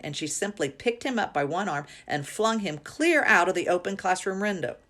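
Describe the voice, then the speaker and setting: A woman's voice: lowish voice, lightly acted. A woman voicing a feisty older schoolgirl in a storybook, telling tales in an emphatic, boastful, battle-worn way.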